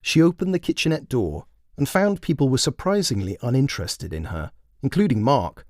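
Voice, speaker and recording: Expressive man narrating a short story. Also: mid-range voice, moderate pace, no echo, clean studio sound. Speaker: author and narrator.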